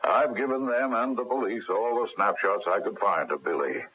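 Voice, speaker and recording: A man's voice: on the low side, normal speed, lightly acted. Man playing an anxiously reassuring, devoted husband. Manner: solicitous, reassuring. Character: devoted husband soothing his distressed wife. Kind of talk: 1970s radio mystery acting. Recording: muffled vintage radio broadcast with hiss.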